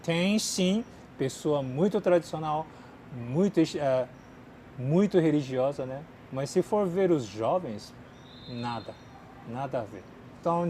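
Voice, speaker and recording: A Japanese-born man speaking as a second language, casually answering viewer questions with lots of fillers, swinging from lively to quiet mumbling. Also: a little high, a little hiss or hum. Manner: chatty, many fillers, drifts between lively and mumbling. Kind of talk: casual chat. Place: outdoors in a city.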